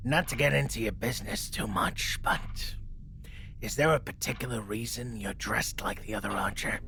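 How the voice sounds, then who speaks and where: Casting: male actor as hard-bitten henchman for audio drama, gravelly and forceful, blunt street talk, short fuse. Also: on the low side, moderate pace, slight background noise. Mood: cautious. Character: tough, blunt, street-hardened male henchman, hot-tempered and wry.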